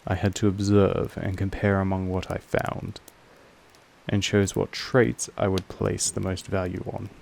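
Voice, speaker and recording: Man voicing a slow, low, possessive vampire master. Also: completely flat delivery, creaky, darkish tone, a little hiss or hum. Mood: firm, teasing. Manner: measured, formal, condescending. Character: possessive, aloof male vampire master, patronizing yet fond. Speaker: voice actor in a roleplay audio drama. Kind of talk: slow intimate monologue addressed to the listener.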